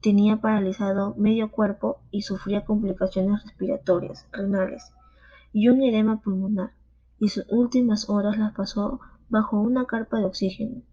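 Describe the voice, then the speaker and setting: A woman reading a narration in a somber, measured way. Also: mid-range voice, steady tone, dark tone. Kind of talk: read narration. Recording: dull lo-fi recording.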